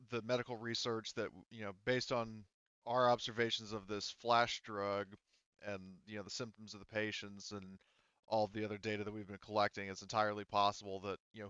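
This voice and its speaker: A man's voice: fairly low pitch, a bit bright, clean tone, dry recording, lo-fi sound. A man acting as game master, explaining and improvising casually in a rambling, self-correcting way.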